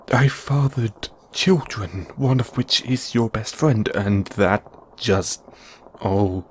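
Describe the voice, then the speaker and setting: A man's voice: fairly low pitch, a little mellow, a little hiss or hum. A man playing a kindly middle-aged father in an audio roleplay, nervous, awkward and stammering.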